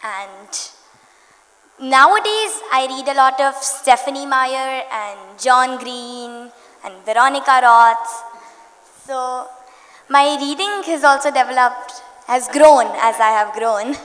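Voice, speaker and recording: Teen girl poet, earnest and slightly hesitant, high voice, reverberant festival hall. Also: slow, fairly bright tone. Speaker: young poet on a panel. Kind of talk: panel discussion answer. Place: literary festival stage. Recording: echoey hall.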